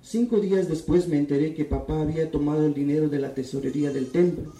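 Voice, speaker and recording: Man with a dark voice, reading from far off. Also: on the high side. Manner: calm, steady reading voice. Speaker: writer reading his own text. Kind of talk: literary reading at a public event. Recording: echoey room, distant mic.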